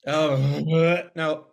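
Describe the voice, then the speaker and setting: Slow-paced man performing a playful monologue. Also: a little high, creaky, a little hiss or hum.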